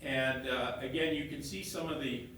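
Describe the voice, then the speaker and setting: A man, an elected councillor presenting slides to a meeting, halting and often repeating his words as he restarts phrases. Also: fairly even intonation. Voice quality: loud voice. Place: meeting room, stepping back from a fixed mic. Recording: very echoey room, heard from a distance, faint rumble.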